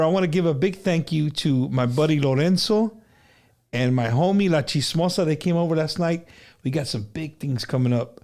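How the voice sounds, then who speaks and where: A man runs a casual livestream interview in a fairly high voice. He talks loosely and slangily, tossing in bro and dude and laughing easily as he chats. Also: a little mellow. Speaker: host of an online music interview show.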